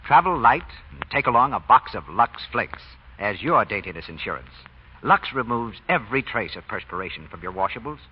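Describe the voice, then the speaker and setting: A man's voice: fairly low pitch, very animated, a little fast, slightly rough. A man with an American accent announcing and reading scripted advertising in 1940s radio style. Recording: dull vintage radio recording.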